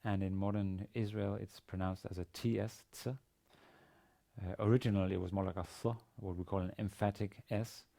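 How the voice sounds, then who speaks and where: A man in his forties with a Danish accent lectures as a language teacher. His voice sits very low and crackles with vocal fry. He explains haltingly, often doubling back on small words as he goes. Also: steady tone, dark tone. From Denmark. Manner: halting, hesitant delivery with repeated words. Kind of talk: instructional lecture. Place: indoor studio with plain grey backdrop.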